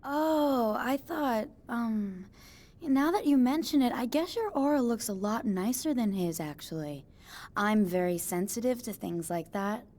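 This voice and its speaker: A woman's voice: fairly high pitch, animated, measured pace, darkish tone. An actress playing a chatty, eccentric female new-age mystic with a breezy, airy way of talking in a game cutscene. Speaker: motel resident, self-declared psychic.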